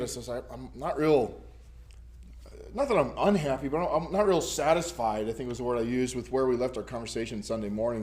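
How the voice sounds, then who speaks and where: A man's voice: medium pitch, darkish tone. Scratchy-voiced man leading a Bible study. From United States. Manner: rambling, many ums and restarts. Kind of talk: casual teaching to a small group. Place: church classroom. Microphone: camera recording.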